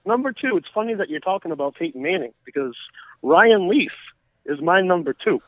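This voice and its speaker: A man's voice: high-pitched, expressive, a little fast, no room sound. A man with a Boston accent calling in to chat about sports in a joking, profane, mock-serious way over a muffled phone line. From Boston area, United States. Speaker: call-in sports guest. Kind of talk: casual comedic chat. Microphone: phone call. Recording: muffled voice call, radio-like.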